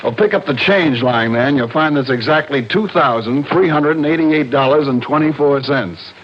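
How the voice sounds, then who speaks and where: A man's voice: mid-range voice, normal speed, a little hiss or hum, lightly acted. An American actor playing an affable, slightly exasperated insurance man with a chummy, slangy manner in an old-time radio drama. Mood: explaining, exasperated. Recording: muffled vintage radio recording.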